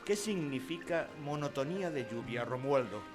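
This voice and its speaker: Formal, gentle schoolmaster played by a man, music behind. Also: medium pitch, animated, normal speed, lightly acted. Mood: gently approving. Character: gentle, courteous male village schoolteacher, formal with pupils. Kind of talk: radio drama acting.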